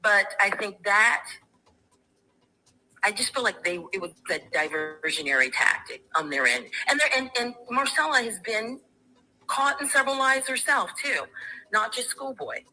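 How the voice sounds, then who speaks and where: A woman's voice: a little high, expressive, normal speed, pushed and bright, poor audio. A woman calling in to a livestream discussion, speaking in a formal, emphatic and defensive way over harsh replayed stream audio. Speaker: caller on a livestream. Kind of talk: opinionated panel discussion.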